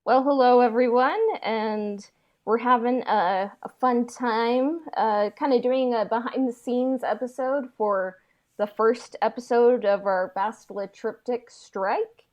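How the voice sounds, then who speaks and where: A woman's voice: fairly high pitch, steady tone, a little slow, muffled recording. A woman co-hosting a podcast in casual chat, speaking very informally and peppering her talk with "like". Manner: informal, frequent "like". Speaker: podcast co-host.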